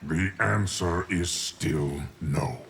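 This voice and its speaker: An actor playing an ancient, solemn immortal guardian of time, speaking formally and with measure in a fighting game. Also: male voice, low-pitched, extremely slow, vocal fry, fairly bright tone, slightly rough, full character voice. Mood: solemn, calm. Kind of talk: scripted fighting game dialogue.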